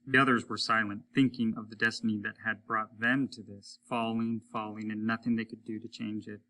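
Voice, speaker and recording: A man's voice: on the low side, flat delivery, a little fast, pushed and bright, rough recording. A man reading a story aloud as a teacher would, in dramatic storytelling narration.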